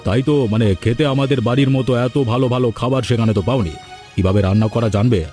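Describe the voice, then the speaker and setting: Guy doing a fussy village father-in-law in a cartoon, talks fast, mellow voice, irritable and easily swayed. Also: mid-range voice, steady tone, soft background music, close to an everyday voice. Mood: irritated, scolding. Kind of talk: animated cartoon story dialogue.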